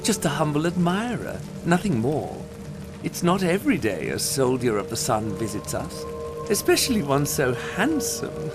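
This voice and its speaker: An actor playing a sly, flirtatious witch merchant in a video game, coy, purring and flattering. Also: medium pitch, moderate pace, music in the background, natural voice. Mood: coy, luring. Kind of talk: theatrical video game acting.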